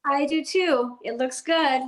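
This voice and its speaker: A woman's voice: sits high, slow. A woman raised in Denmark, a guest on a home decor show, talking in a friendly, chatty way with frequent ums and restarts. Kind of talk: casual interview chat.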